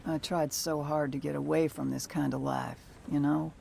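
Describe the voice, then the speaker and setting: An actress playing a weary, guarded bounty hunter in a video game, dry and plain-spoken, with a gravelly voice. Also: female voice, low voice, level tone, moderate pace, darkish tone, a little hiss or hum. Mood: weary, troubled. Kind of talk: naturalistic video game acting.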